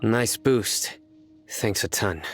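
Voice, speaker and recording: An actor playing a stern, earnest young judge and desert warrior in a video game, speaking in a measured, formal, deadpan way. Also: male voice, on the low side, faint music behind. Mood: solemn, resolute. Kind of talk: scripted video game character voice lines.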